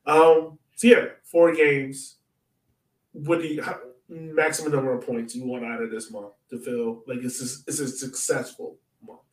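A man's voice: mid-range voice, flat delivery, a little slow, distant mic. A man co-hosting a casual sports podcast, speaking informally as he banters and puts questions to his partner. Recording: echoey room, off the mic.

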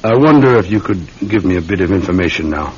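A dutiful British sergeant in classic radio drama. His low voice is polite, measured and probing. The old recording is dull, with a faint bed of noise. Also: expressive. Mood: patient, probing. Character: dutiful, courteous, methodical London police sergeant.

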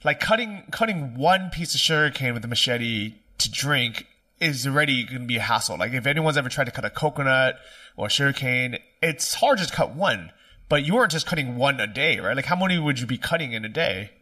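A man's voice: medium pitch, lively intonation, fairly quick, sharp tone. A man in a casual interview, chatty and empathetic as he asks follow-up questions.

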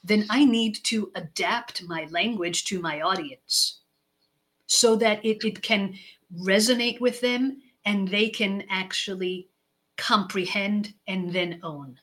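A woman guest expert giving measured interview answers, stacking her points in lists and letting sentence ends fall soft. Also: mid-range voice. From United States. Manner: deliberate, stacks phrases in lists, trails off softly at ends. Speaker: guest expert on leadership and communication.

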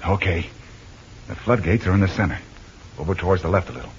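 A muffled old radio recording with faint noise carries a man playing a bold adventure hero. He has a lowish voice and talks like a brisk, decisive leader. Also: close to an everyday voice. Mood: alert, commanding. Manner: brisk, plain-spoken, commanding. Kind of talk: 1940s radio serial acting.

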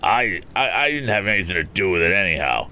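A man doing a timid teenage crony who stammers and frets, pitched a little above the reader's own low voice. Faint noise hums under it. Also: sing-song, fast talker, sharp tone, full character voice. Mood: scared. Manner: stammering, frightened.

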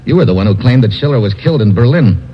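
A man's voice: medium pitch, animated, fast talker, a little hiss or hum, close to an everyday voice. A man with an American accent playing a tough, terse adventurer who narrates his own exploits in an old-time radio drama. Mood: suspicious. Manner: terse, hard-boiled. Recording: muffled vintage radio recording, loud music.

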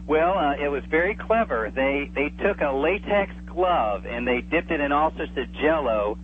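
A man's voice: on the high side, animated, normal speed. A man chatting enthusiastically as an expert guest in a casual radio interview, calling in by telephone. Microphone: telephone call. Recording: tinny phone line with hum and hiss.

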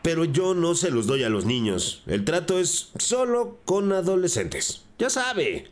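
An actor playing a nervous, evasive school bus driver and drug dealer, pleading and defensive, in a crime audio drama. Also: male voice, a little high, big pitch swings, measured pace. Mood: panicked pleading.

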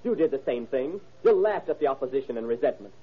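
A man's voice: mid-range voice, lively intonation, fast talker, slightly rough. An actor playing an angry, sarcastic young son of a wealthy father in a 1930s American radio drama. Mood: indignant. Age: young adult. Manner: heated, sarcastic, argumentative. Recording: muffled vintage radio drama recording.

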